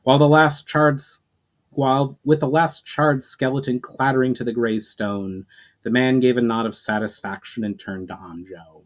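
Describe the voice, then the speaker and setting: A man's voice: medium pitch, steady tone, moderate pace. A man reading an adventure story aloud in a dramatic narration style, starting phrases emphatically and letting them trail off.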